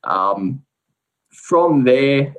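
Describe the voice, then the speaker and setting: A man with a South African accent, a guest researcher giving a relaxed, explanatory account of his background on a podcast. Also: medium pitch, very slow, low-quality recording. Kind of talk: casual podcast interview.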